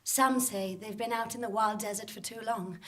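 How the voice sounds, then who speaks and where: A woman's voice: medium pitch, fairly even intonation, normal speed, close to an everyday voice. A woman playing an earnest, no-nonsense saloon barkeep in a comic western radio play, with a melodramatic manner. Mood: urgent, dramatic. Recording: roomy live theatre sound.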